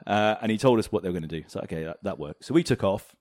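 A man from Hong Kong chatting casually as an interview guest, telling anecdotes in an animated way. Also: lowish voice, rapid-fire, no room sound. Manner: animated, chuckles as he tells anecdotes. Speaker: interview guest, former fighter pilot. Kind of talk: casual storytelling in a podcast interview.

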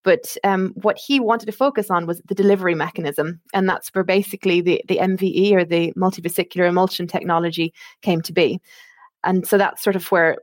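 A woman's voice: lowish voice, no room sound. A woman in her thirties with an Irish accent, explaining her work as a guest in an interview, chatty and full of fillers. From Ireland. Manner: chatty, with frequent fillers and restarts. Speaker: guest, medical marketing manager. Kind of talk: conversational interview.

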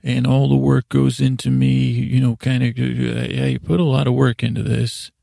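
Male bedtime podcast host: mellow, very dry sound, lulling, hesitant rambler who wanders off and circles back. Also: mid-range voice, level tone, moderate pace, dry recording. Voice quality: creaky, gravelly. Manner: hesitant, meandering, repeats and trails off; lulling, soothing delivery.

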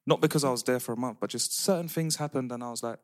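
A man's voice: medium pitch, racing, no echo, clean studio sound. A young man, chatting casually as a podcast co-host and telling stories with lots of slang. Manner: animated storytelling, laughing slang-heavy. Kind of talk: casual chat among friends.

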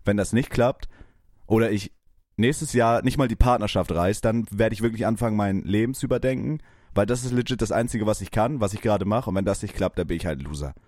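A man in his twenties from Kiel co-hosting a casual podcast, joking and mock-indignant in quick banter. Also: on the low side, darkish tone, no echo, clean studio sound. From Kiel, northern Germany. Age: 20s. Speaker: podcast co-host, streamer. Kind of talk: casual chat.

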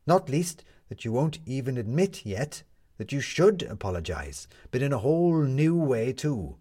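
Man reading as a brisk, husky, bossy woman reporter. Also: mid-range voice, very animated, warm tone. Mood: exasperated challenge. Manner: emphatic, challenging, rhetorical questioning. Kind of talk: storybook character dialogue.

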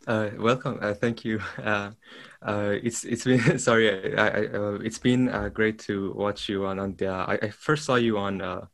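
A man from Iran, a podcast guest who speaks haltingly, searching for words and restarting often. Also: lowish voice, fairly even intonation, creaky, fairly bright tone. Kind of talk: casual interview talk.

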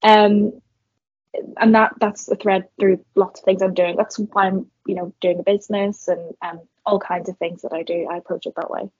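A husky young woman with a Northern Irish accent talks things through in a thoughtful, conversational way. Her voice sits dry and close on a somewhat dull, lo-fi recording. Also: medium pitch, little pitch movement, moderate pace, darkish tone, no echo. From Northern Ireland. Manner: reflective, many fillers and restarts. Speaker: guest, marketer and entrepreneur. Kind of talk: conversational podcast interview.

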